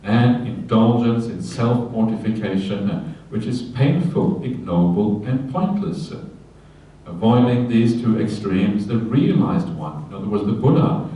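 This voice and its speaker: A man, a Buddhist monk giving a relaxed dharma talk on a sutta, often checking in with his listeners and adding light humorous asides. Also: lowish voice, a little slow. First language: Norwegian. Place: retreat hall. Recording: very echoey hall, voice from a distance, faint ambience.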